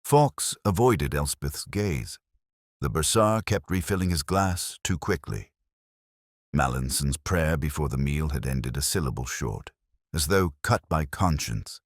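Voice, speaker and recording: Very deep-voiced man narrating detective fiction. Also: animated, no echo, studio quality. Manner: measured, literary, reflective. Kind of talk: first-person scripted detective story narration.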